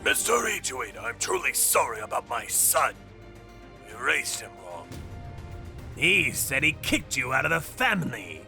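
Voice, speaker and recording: Man voicing a bright, forceful, ruthless underworld avenger. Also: mid-range voice, big pitch swings, normal speed, vocal fry, heavily acted. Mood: cold menace. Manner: menacing, theatrical. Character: ruthless male underworld avenger narrating his torture jobs. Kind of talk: dramatic manga dub narration and dialogue. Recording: background music under voice.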